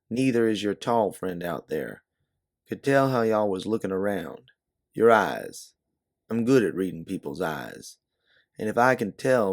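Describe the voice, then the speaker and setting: Man acting out a deep, creaky streetwise doorman. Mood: suspicious, probing. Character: big streetwise doorman, blunt, watchful, protective. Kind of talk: second-person storybook narration, character dialogue.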